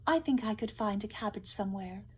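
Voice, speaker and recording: A woman's voice: medium pitch, fairly quick, husky, pitched above the performer's own voice, close to an everyday voice. A woman reading the part of simple, awestruck village peasants in a storybook, earnest and emphatic. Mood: eager.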